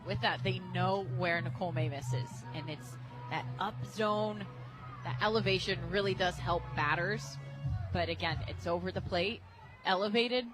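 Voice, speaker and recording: An American woman giving conversational color commentary on a softball radio broadcast, as an analyst. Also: fairly low pitch, normal speed, music in the background, rough recording. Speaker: color analyst, former player. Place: stadium radio booth, open to outdoor noise. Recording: clear outdoor ballpark noise.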